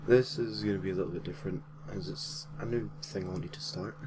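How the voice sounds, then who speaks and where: A man's voice: deep voice, fairly even intonation, brisk, noisy background. A man narrating a gaming story in an informal way, reading from a script with casual, slightly hesitant asides. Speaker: host and narrator of a gaming creepypasta series.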